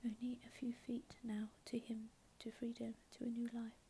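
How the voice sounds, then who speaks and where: Softly whispering woman storyteller. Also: fairly high pitch, level tone, normal speed, a little hiss or hum. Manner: soft unvoiced whisper throughout. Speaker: narrator. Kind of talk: whispered ASMR reading of a fantasy novel.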